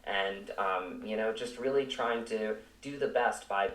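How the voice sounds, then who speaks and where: Male interview guest, lowish voice, earnest and searching, recorded away from the mic. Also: a bit bright. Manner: earnest, halting, repeats words while searching for phrasing. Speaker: actor, podcast guest. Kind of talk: reflective interview talk. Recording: roomy, echoing, distant from mic.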